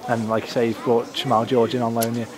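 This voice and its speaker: Brisk flat-voiced club interviewer. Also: male voice, medium pitch, a crowd in the background. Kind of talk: post-match interview questions.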